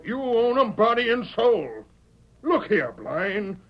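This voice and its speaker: A man's voice: very high-pitched, very animated, slow, full character voice. An American actor playing a stubborn, defiant former sheriff and father in a radio Western, with folksy rustic speech. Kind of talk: 1940s radio Western acting. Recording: dull old radio recording.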